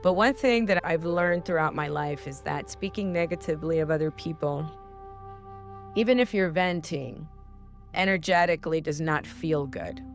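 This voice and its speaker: A woman's voice: deep voice, moderate pace, faint music behind. A woman hosting a spiritual podcast, talking in an earnest, heartfelt coaching tone. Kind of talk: casual spiritual advice talk.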